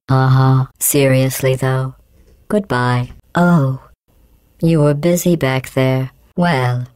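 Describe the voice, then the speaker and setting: A woman playing a coldly menacing, sarcastic female artificial intelligence in a video game, deadpan and falsely polite, with robotic processing on the voice. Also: deep voice, clean tone, no echo. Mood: smug, menacing. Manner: deadpan, passive-aggressive, falsely polite, measured enunciation. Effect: robotic synthesized voice processing. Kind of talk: scripted video game voice acting.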